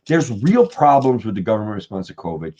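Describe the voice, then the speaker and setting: A man running for office, talking casually to viewers on a late-night livestream, rambling and calling them guys, his voice getting hoarse. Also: fairly low pitch, big pitch swings, a little fast, dark tone, dull audio. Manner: rambling, keeps saying guys and okay. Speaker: political candidate livestreaming.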